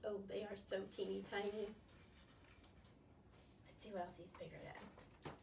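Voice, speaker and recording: Woman in her sixties, children's storytime, slow pace, coaxing questions, roomy distant recording. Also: mid-range voice, little pitch movement, vocal fry. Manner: exaggerated wonder, coaxing questions. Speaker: children's librarian. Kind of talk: storytime read-aloud to young children. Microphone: distant camera microphone. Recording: roomy, distant camera mic, faint noise.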